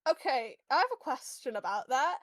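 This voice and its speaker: High-pitched woman narrating tabletop roleplay. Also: big pitch swings, moderate pace, no room sound. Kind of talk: casual improvised narration of character actions.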